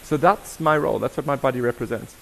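A young man with a South African accent leads a conference session. He keeps throwing quick questions at the crowd, and his pitch sits mid-range. There is a faint hiss behind him. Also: creaky, low-quality recording. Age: young adult. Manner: lively, questioning the audience directly. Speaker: diversity workshop presenter. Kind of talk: interactive conference talk.

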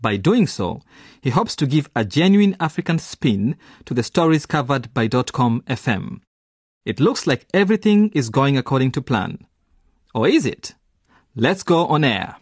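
A man's voice: medium pitch, very animated, normal speed, slightly rough, dry recording, studio quality. A man presenting and narrating a scripted radio series in an upbeat, emphatic presenter style.

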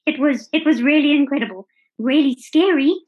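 A woman's voice: sits high, a little fast, a little mellow. A woman with a South African accent giving casual, conversational answers as an interview guest. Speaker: podcast guest, traveller. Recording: dull, lo-fi audio.

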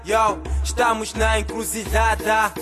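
A man's voice: sits high, completely flat delivery, unhurried. A man singing and rapping a radio serial theme song. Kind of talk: sung and rapped theme song. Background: hip hop and reggae music.

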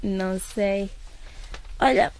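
A woman's voice: mid-range voice, slow, darkish tone, a little hiss or hum, close to an everyday voice. An actress playing a shy, frightened young woman in a radio drama, speaking hesitantly. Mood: anxious. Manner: timid, hesitant.

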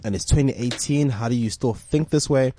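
A man from Johannesburg with a South African accent, whose first language is Tswana, hosting a casual panel podcast in an animated, emphatic way. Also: mid-range voice, no room sound. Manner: animated, emphatic, quick asides. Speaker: podcast host.

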